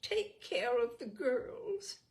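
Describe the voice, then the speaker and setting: A woman reading a story, voicing a frail old mother on her deathbed who pleads insistently in a high, thin, weak voice. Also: big pitch swings, drawn-out words, darkish tone, full character voice. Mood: weak pleading.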